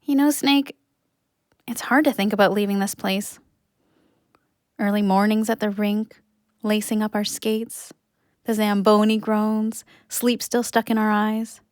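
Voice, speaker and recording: A woman's voice: mid-range voice, fairly even intonation, a little slow, long pauses, no room sound, studio quality, natural voice. A woman playing an earnest, wistful young adult in an audio drama. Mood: reminiscing. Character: young Canadian, wistful, nature-loving, awkward and earnest. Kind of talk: audio drama narration and acting.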